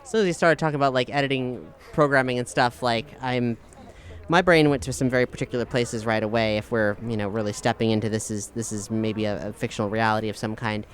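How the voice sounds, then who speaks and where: A man chatting casually as a tabletop role-playing player, often halting and correcting himself. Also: mid-range voice, fairly even intonation. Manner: halting, self-correcting speech. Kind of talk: casual in-game chat.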